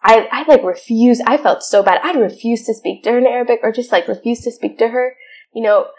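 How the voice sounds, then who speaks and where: A woman's voice: a little high, fairly quick, a little mellow. A young woman from Syria, speaking as a podcast guest in an earnest, reflective way with many filler words. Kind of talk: casual interview chat.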